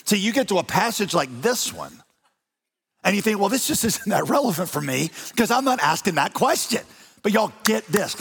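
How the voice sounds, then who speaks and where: A man preaching a sermon as pastor, animated and folksy with touches of humor. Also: on the high side, husky. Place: large church auditorium. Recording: long reverb of a church hall.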